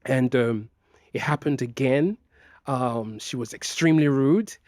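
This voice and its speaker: A man's voice: mid-range voice, a little slow, darkish tone, no echo, studio quality. Hesitant Nigerian-raised man, filler-heavy. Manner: hesitant, many fillers and restarts. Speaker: guest. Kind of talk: personal interview.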